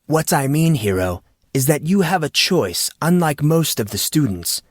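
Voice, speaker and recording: Man playing a patient manservant, formal, measured, gently teasing, raised pitch, audiobook character. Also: sing-song, no room sound, clean studio sound.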